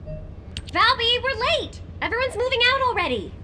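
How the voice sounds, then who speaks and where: An actress playing an eager, excitable young woman recruit in a hurry. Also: very high-pitched, moderate pace, a little hiss or hum, heavily acted. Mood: urgent. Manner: urgent and excitable. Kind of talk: game cutscene voice acting.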